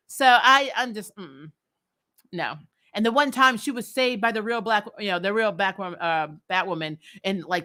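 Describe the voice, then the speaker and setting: A woman of average pitch with a very bright, forceful voice. She hosts a casual recap vlog, answering viewers in an animated, opinionated way. Her delivery is blunt and emphatic, with free swearing. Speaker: host of a TV show recap vlog.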